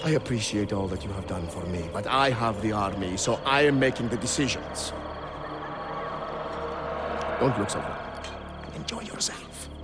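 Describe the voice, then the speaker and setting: An actor playing an arrogant, ambitious villainous warlord in a video game, grandiose and imperious. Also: male voice, lowish voice, measured pace, long pauses, over loud music, close to an everyday voice. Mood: cold, dismissive.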